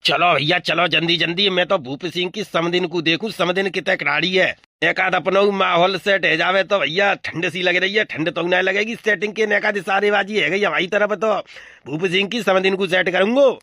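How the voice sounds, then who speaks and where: A man's voice: high voice, steady tone, normal speed, bright, forceful tone, no room sound, studio quality. A man voicing a cheeky, lecherous cartoon crow at a wedding, eager and scheming, with a rustic western Uttar Pradesh accent. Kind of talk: comic cartoon dub.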